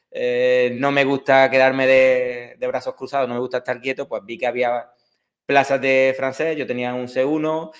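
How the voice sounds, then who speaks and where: Male teacher, 30s, Andalusian accent, hesitant, casual lecturing tone. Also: medium pitch. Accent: Andalusian Spanish. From Córdoba, Spain. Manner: hesitant, many fillers and restarts. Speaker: exam prep teacher. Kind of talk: online course introduction.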